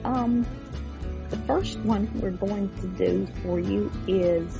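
A woman hosting a podcast with casual chat, rambling and halting as she introduces the show. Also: mid-range voice, creaky. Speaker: podcast host. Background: guitar music.

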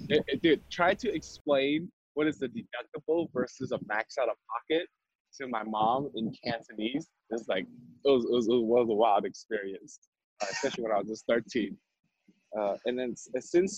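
Husky-voiced young man, casual and chatty. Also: lowish voice, no echo, poor audio. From Vietnam. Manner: chatty with frequent fillers and restarts. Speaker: MBA student guest. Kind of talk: casual conversational interview.

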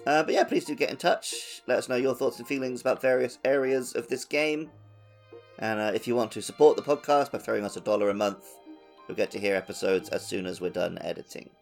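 A man's voice: mid-range voice, a little fast, creaky, faint music behind. A man co-hosting a gaming podcast, chatting casually in a playful, jokey, self-deprecating way. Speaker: podcast co-host.

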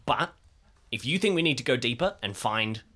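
A man's voice: mid-range voice, lively intonation, normal speed, sharp tone. A man playing a dazed, nervous and deferential lost man, hesitant and repetitive, in an improvised tabletop game. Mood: nervous, pleading.

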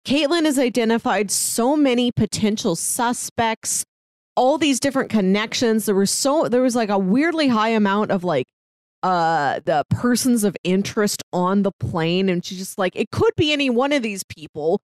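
A woman's voice: fairly high pitch, expressive, normal speed, dry recording. A woman talking casually as a podcast co-host, recapping a story in a conversational way. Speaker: podcast co-host discussing an audio drama.

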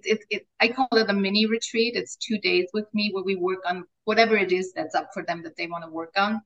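A middle-aged Austrian woman whose first language is German, speaking as an interview guest. Her voice sits at a mid pitch, and she talks in an animated, chatty way. She laughs often and stumbles over repeated words as her thoughts start. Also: poor audio. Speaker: guest, life coach. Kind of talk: casual interview chat.

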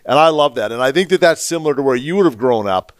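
A man's voice: mid-range voice, expressive, fast talker, dry recording, clean studio sound. Canadian man, podcast host, mid-range voice. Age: 50s. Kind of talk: casual interview chat.

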